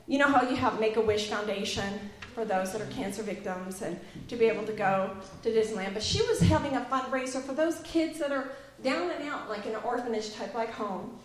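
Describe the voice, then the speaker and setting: A woman tells a meandering story at a church gathering and introduces the next speaker. Her voice sits a bit high. The room around her is strongly echoey. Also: fairly quick, hardly any pauses. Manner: chatty, rambling storyteller. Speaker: event organizer introducing a guest. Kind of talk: casual church talk.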